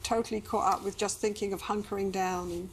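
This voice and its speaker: A woman's voice: mid-range voice, fairly even intonation, a little fast, a little hiss or hum, low-quality recording. A woman speaking conversationally as a couples therapist, putting questions to a client.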